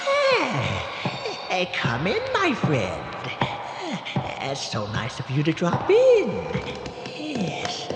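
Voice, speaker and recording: Creepy old morgue attendant telling spooky radio stories, slow, super swoopy, big echo, music under it. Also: male voice, high-pitched, wildly swooping pitch, noisy background, full character voice. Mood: gleeful, welcoming. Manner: theatrical, drawn-out, savoring. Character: eccentric, obliging old morgue attendant storyteller. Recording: reverberant radio drama, music behind.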